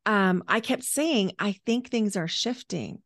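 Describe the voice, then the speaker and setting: A woman of about fifty talks as a guest on a podcast, in a mid-pitched voice. She tells personal stories in a conversational way, leaning on key words for emphasis. The sound is dry, with hardly any room in it. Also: animated, measured pace, a bit bright, dry recording. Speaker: podcast guest, energy healer and coach.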